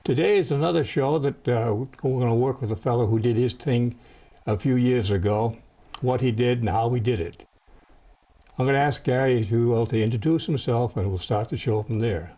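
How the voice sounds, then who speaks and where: A man's voice: medium pitch, moderate pace, dark tone. An older American man hosting a television interview in a folksy, encouraging way that mixes formal phrasing with slang. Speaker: host and producer of a veterans interview show.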